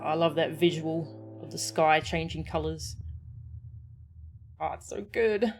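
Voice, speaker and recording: Lowish-voiced lady streamer chatting casually, gushing over game stuff, bit of music under it. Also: takes it slowly. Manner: enthusiastic, admiring asides. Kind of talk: casual livestream reaction commentary.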